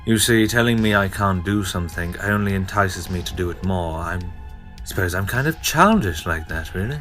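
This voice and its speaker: An actor playing a gloating, taunting villain in an audio drama, with a drawn-out, mocking, theatrical delivery. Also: male voice, deep voice, animated, fairly bright tone, soft background music. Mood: gloating menace.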